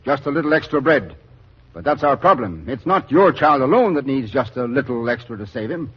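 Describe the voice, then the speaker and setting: An actor playing a sympathetic but firm relief official in a 1940s radio drama, measured and oratorical. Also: male voice, mid-range voice, sing-song, breathy edge. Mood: regretful. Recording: muffled vintage radio recording.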